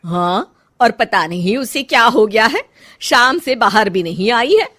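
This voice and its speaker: Lady acting chatty middle-aged saas in a cartoon story, expressive, voice highish and swinging up and down. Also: measured pace, heavily acted. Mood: worried. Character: chatty middle-aged mother-in-law in a family tale. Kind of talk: animated moral story dialogue.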